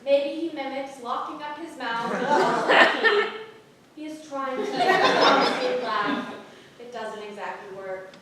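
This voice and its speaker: A woman narrating stage directions at a staged play reading, in a measured, wry way. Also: sits high, pushed and bright. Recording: echoey theatre, distant mic, clear background noise.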